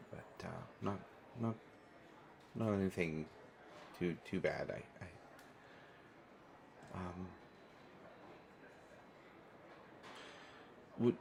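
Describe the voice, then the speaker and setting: Deep-voiced, stammering shy guy acted by a man. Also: creaky, slight background noise, full character voice. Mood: nervous, apologetic. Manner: hesitant, stammering, apologetic. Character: shy, awkward, kind young man stranded at an airport. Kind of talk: naturalistic audio roleplay.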